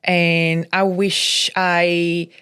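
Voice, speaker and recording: A woman's voice: fairly low pitch, flat delivery, extremely slow, fairly bright tone, clean tone. A woman from Slovakia with a Slovak accent, a native Slovak speaker, telling her personal story casually as a podcast guest.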